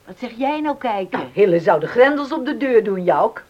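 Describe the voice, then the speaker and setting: A woman's voice: a little high, sing-song, moderate pace, a little mellow, muffled recording. An actress playing a blunt, devout, protective middle-aged mother in a Dutch radio drama, speaking emphatically and homely. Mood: brisk, urging. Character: plain-spoken, devout mother, defiant and protective.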